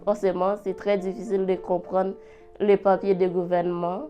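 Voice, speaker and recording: Mellow-voiced woman speaking French as a second language. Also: mid-range voice, little pitch movement, normal speed, soft background music. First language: not French. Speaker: adult literacy learner. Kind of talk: personal testimony. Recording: dull lo-fi recording.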